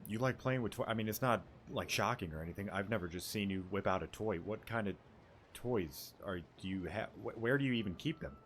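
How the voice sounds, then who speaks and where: A man's voice: fairly low pitch, a little fast, fairly bright tone, a little hiss or hum. A young man giving casual, joking, offhand commentary as he plays, with game music behind him. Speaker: gaming streamer. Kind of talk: casual reaction commentary over gameplay.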